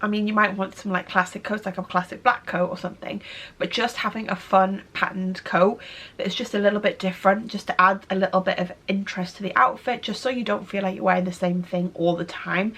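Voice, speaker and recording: A woman's voice: mid-range voice, steady tone, fairly quick, sharp tone. A woman in her thirties with a cold, sounding a little nasal and stuffy, chatting casually in a fashion vlog and often doubling up "really, really". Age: 30s. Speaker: fashion vlogger.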